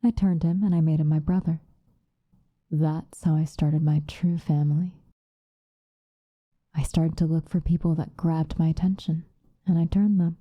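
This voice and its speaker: A woman playing a possessive, obsessive female vampire lover in an ASMR roleplay, sinister yet tender, intimate and breathy, often slipping into whispers. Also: low-pitched, fairly even intonation, no echo, muffled recording. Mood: reflective, proud. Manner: intimate, breathy, drawn-out seductive delivery, often dropping into whispers.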